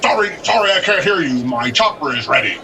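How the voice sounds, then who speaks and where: A man's voice: on the high side, very animated, a little fast. An actor playing a pompous, oratorical male president in an audio drama. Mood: flustered, evasive. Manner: oratorical, stammers under questioning. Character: pompous male president, rattled and evasive when questioned. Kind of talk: audio drama political speech. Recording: echoey public-address hall, distant, music behind.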